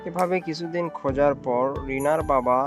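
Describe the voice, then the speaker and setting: A man's voice: fairly high pitch, moderate pace, music in the background. A man reading scripted narration for a cartoon story. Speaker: narrator and voice actor.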